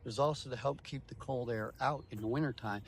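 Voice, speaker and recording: A man's voice: mid-range voice, fast talker, slight background noise. A man speaking as a tour guide, explaining things step by step in short, emphatic declarative phrases.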